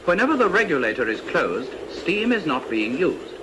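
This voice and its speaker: A British man reading a scripted instructional narration for a vintage training film, in a precise, measured, didactic manner. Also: fairly high pitch, big pitch swings, background noise.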